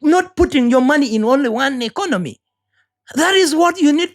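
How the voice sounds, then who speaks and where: A woman's voice: sits high, expressive, brisk. A woman from Uganda with a Ugandan accent, giving an earnest, preachy motivational talk and often repeating words as she searches for the next one. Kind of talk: motivational monologue.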